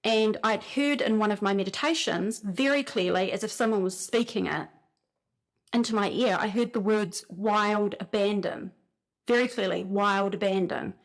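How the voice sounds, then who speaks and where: A woman's voice: mid-range voice, normal speed. New Zealand woman telling spiritual stories. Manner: animated storytelling, frequent "like" fillers. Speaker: spiritual speaker. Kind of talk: casual spiritual talk.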